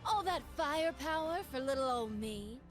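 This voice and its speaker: A woman's voice: sits high, lively intonation, normal speed. A woman playing a confident, flirtatious and vengeful pirate captain in a video game, with a teasing, swaggering delivery. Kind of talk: video game character voice lines. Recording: game audio under music.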